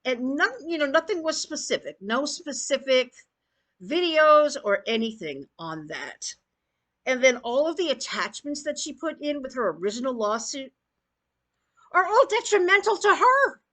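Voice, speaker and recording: A woman's voice: high-pitched, sing-song, normal speed, a bit bright. A woman giving an opinionated rant as an online commentator, blunt, emphatic and scornful in her delivery. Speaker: YouTube commentator.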